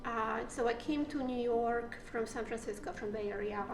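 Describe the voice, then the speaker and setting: Polish-accented female artist, halting storyteller, roomy sound. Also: fairly high pitch, fairly even intonation. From Poland. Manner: halting, many fillers and restarts. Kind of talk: personal story interview. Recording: echoey room with faint music.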